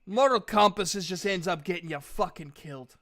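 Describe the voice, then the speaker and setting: Man acting a bitter, foul-mouthed drinking guildmaster, forceful. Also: high-pitched, brisk. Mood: angry scorn. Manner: ranting, scornful.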